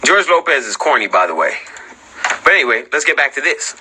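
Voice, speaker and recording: A man's voice: medium pitch, sing-song, fairly quick, heavy background noise. A man hosting off-the-cuff commentary, blunt and profane, repeating phrases for emphasis and firing combative rhetorical questions. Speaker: host. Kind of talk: unscripted commentary on read-out articles and clips.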